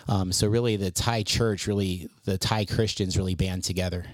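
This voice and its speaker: A man's voice: deep voice, steady tone, moderate pace, no echo. A man, a missionary guest, chatting casually on a podcast, rambling with frequent restarts and easy laughs. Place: lives in Thailand eight years.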